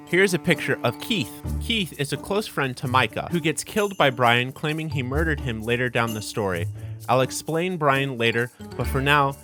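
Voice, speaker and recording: A man's voice: mid-range voice, fairly bright tone. A man giving a scripted, informal story recap with wry, joking asides. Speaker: video narrator recapping a visual novel. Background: music under the voice.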